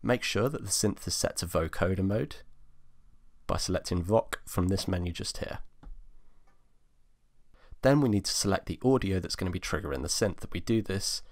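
Man, twenties, low voice, tutorial host, explanatory step-by-step delivery. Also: normal speed, long pauses. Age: 20s. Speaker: music production tutorial host. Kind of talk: instructional screencast tutorial, explanatory.

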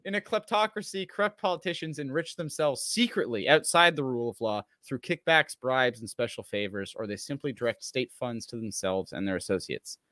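A man co-hosting a casual political livestream, reading an article aloud with halting, sardonic asides. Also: medium pitch, animated, sharp tone, no room sound. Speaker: show co-host reading and commenting on an article. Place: indoor room at home, livestream video call.

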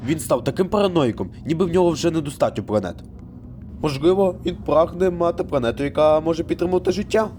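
A man's voice: fairly high pitch, fast talker, darkish tone, a little hiss or hum, lightly acted. A man voicing a brash, restless giant planet in a cartoon, with a casual, complaining manner. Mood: scornful. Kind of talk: animated space cartoon dub. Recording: music behind the voice.

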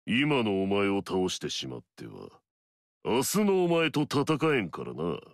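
A scornful warrior who craves battle. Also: male voice, lowish voice, huge pitch swings, measured pace, no echo, studio quality, full character voice. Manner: curt, scornful, commanding. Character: arrogant mightiest male warrior, proud and battle-hungry. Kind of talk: video game story dialogue.

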